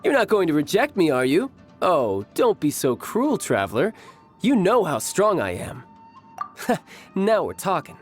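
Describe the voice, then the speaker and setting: Cocky smooth young fighter, anime game style, teasing, really lively pitch, faint music. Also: male voice, a little slow, exaggerated voice. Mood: playful, charming. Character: suave playful young male warrior, cocky and teasing.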